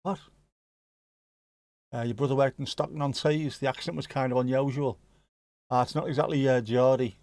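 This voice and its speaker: A man's voice: medium pitch, fairly even intonation, normal speed, long pauses, darkish tone, no echo. A man with a Scouse accent, hosting a livestream and chatting casually with viewers, joking and swearing now and then. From Liverpool area, England. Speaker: livestream host. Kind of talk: casual chat reacting to live chat.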